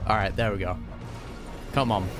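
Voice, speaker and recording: A man giving informal play-along commentary on a game, reacting out loud. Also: fairly low pitch, steady tone, normal speed. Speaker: gaming reaction streamer. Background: game music.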